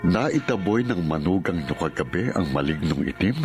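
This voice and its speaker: A man playing a calm, pious parish priest in a radio drama, measured and solemn. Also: deep voice, soft background music. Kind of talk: Filipino radio drama acting.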